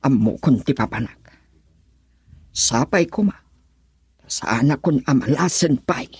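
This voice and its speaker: A woman's voice: extremely low pitch, animated, unhurried, darkish tone. An actress playing a proud, guarded young woman in an Ilocano radio drama. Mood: brooding.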